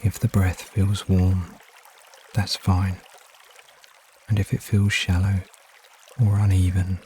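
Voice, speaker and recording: Male meditation guide, deep register, soothing and unhurried with frequent pauses, trickling water behind. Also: a little hiss or hum. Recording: steady water ambience behind voice. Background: trickling stream water sounds.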